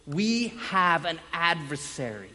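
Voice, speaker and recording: A man preaching an evangelical sermon as a pastor, speaking in emphatic phrases broken by dramatic pauses. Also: a little high, big pitch swings, bright, forceful tone. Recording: echoey hall with long reverb.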